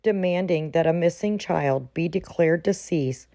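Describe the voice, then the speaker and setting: A woman delivering scripted commentary in a formal, measured manner. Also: lowish voice, mellow, no echo.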